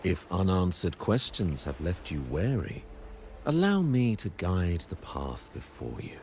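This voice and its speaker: A man's voice: low-pitched, big pitch swings, a little slow, darkish tone, slight background noise, exaggerated voice. A man playing a solemn, zealous religious prophet in a video game, speaking formally and grandly as if preaching. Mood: solemn, reverent.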